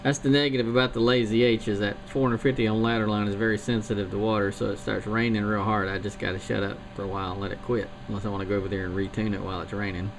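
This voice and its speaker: A man chatting easily on amateur radio, talking through gear and antennas in a relaxed, technical way. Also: lowish voice, very fast, few pauses. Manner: easygoing, technical talk. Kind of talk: casual radio chat. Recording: hissy shortwave radio signal.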